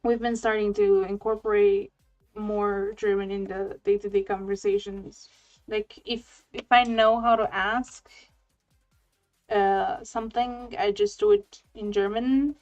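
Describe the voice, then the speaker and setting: A woman's voice: mid-range voice, fairly even intonation, darkish tone. A woman whose first language is Romanian, chatting casually and hesitantly as a guest on a live stream over a remote call. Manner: slow, hesitant, with frequent fillers. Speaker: guest artist. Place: at home, living in Germany. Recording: dull lo-fi sound with faint music.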